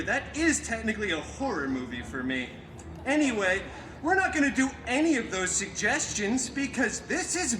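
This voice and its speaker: A man's voice: high-pitched, background noise. A man playing a campy, vain, wisecracking masked antihero who tells a story live, with theatrical delivery and sarcastic asides. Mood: wry, sarcastic. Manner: hammy, sarcastic, swooping between dramatic delivery and quick asides. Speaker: theme park character performer telling a story to children. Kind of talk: theatrical live comic storytelling with crowd banter. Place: live theme park stage show. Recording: echoey live show, loud music behind. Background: loud music.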